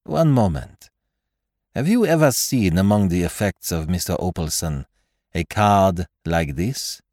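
A man voicing a courteous, shrewd detective with a dry, measured, formal manner, in an audiobook. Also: low-pitched, expressive, darkish tone, no echo. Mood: polite. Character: calm, courteous, shrewd foreign male detective.

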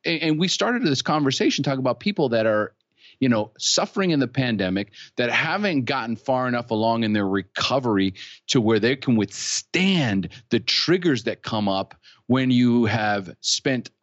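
A man's voice: medium pitch, dry recording. A middle-aged man from California, a podcast guest, telling his own story frankly and with lots of feeling. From California, United States. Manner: animated, frank, laughs easily. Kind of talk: candid conversational storytelling. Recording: dull lo-fi sound.

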